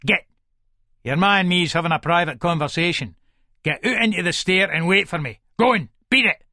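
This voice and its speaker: A man's voice: sits high, moderate pace, a bit bright, no room sound, studio quality. A man voicing a violent, foul-mouthed Scottish father in a story, barking orders and threats aggressively. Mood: menacing.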